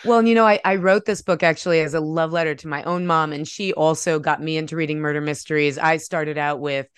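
An American woman, an author speaking in an articulate, measured way with a smooth, clear voice. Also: low-pitched, fairly even intonation, no room sound. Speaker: debut author, guest. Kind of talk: conversational interview talk and prose reading from own novel.

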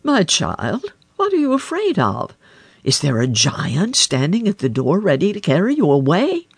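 A woman's voice: lowish voice, huge pitch swings, moderate pace, husky, no echo, exaggerated voice. A woman voicing a stern older king and father in a storybook, speaking in a commanding way. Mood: concerned. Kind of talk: storybook reading.

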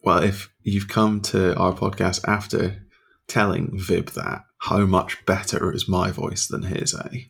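A low-pitched man with a gravelly, slightly husky voice. He chats casually on his podcast from a home study, keeping his voice quiet and low. He chuckles often between his remarks. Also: flat delivery, measured pace. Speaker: podcast co-host. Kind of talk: casual chat.

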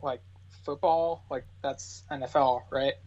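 A man's voice: mid-range voice, fairly even intonation, a little slow, darkish tone. A man chatting casually as a podcast guest, tentative and matter-of-fact, on dull lo-fi audio.

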